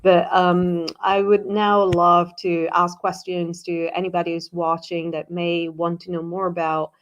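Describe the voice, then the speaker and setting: A woman's voice: lowish voice, level tone, measured pace, highs cut off. A woman hosting a virtual presentation on a video call, polite and welcoming, with a few ums. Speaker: event host.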